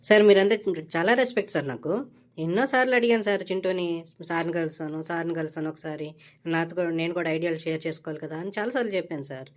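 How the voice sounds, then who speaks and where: A woman's voice: lowish voice, fast talker, natural voice. Woman voicing a brash, scheming young office guy. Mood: flattering, wheedling. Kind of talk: Telugu comedy cartoon dialogue.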